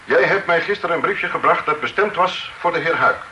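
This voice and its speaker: A presiding magistrate questions witnesses in a measured, formal manner. His slightly high, bright voice stays controlled. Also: highs cut off, a little hiss or hum. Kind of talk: period radio drama acting.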